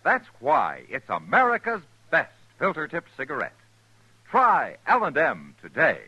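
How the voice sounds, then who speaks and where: Male 1950s commercial announcer: emphatic, very forceful and bright, highish pitch. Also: huge pitch swings, measured pace, slightly rough, low-quality recording. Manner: emphatic, punchy selling. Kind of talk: 1950s radio cigarette commercial read.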